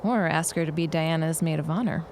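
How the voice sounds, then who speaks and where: A woman's voice: sits low, a little fast, a little mellow, a little hiss or hum. A woman playing a sociable, dryly witty woman in a period audio drama. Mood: dry, chatty.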